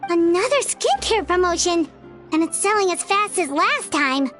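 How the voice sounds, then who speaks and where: A woman voicing a tiny, excitable, chatty fairy companion with a childlike manner in a video game. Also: extremely high pitch, normal speed, soft background music, heavily acted. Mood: excited, chatty. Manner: exclaiming, speaks of self in third person.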